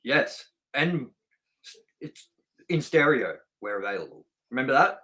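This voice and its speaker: A man's voice: mid-range voice, sing-song, measured pace, pushed and bright, low-quality recording. A man co-hosting a movie review show in casual, unscripted chat, talking informally and straight to the audience. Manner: informal, chatty, addresses viewers directly.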